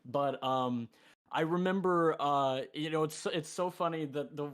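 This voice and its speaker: A man's voice: fairly high pitch, unhurried, a bit bright, clear, resonant tone. Earnest joking man on a video call. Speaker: voice actor. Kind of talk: casual guest talk.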